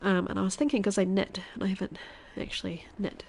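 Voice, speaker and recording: A woman's voice: mid-range voice, steady tone, fast, gravelly, slight background noise. Stuffy-nosed woman chatting while gaming. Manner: chatty, thinking aloud, drops into quiet whispers. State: congested, stuffy nose. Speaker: streamer. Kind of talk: casual live-stream chat while gaming.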